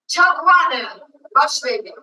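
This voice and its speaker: A woman's voice: sits high, sing-song, moderate pace, pushed and bright. A woman narrating a personal family story in a film. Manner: storytelling, intimate. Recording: film audio played from far off.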